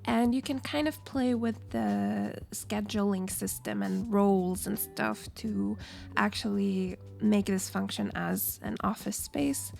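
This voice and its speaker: A hoarse woman, recovering from a cold, casually narrating a game building video in a chatty, rambling way. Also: medium pitch, steady tone, takes it slowly, darkish tone, soft background music. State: hoarse from illness. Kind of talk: casual voiceover.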